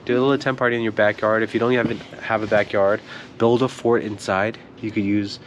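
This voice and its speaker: A man's voice: fairly low pitch, level tone, fairly quick, dull audio, slight background noise. A man talking casually to viewers as a family vlogger, in an informal, chatty way. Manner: informal, addresses viewers as guys. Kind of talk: casual vlog chat to viewers.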